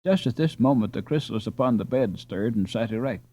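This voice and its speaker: A man narrating an audiobook adventure in a suspenseful, expressive, dramatic storytelling style. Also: medium pitch, brisk, dry recording.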